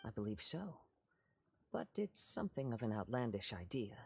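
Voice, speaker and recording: An actor playing a calm, perceptive young male wandering swordsman in a video game, speaking in a measured, formal way. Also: medium pitch, gravelly, slight background noise. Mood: thoughtful, measured. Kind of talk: scripted video game dialogue.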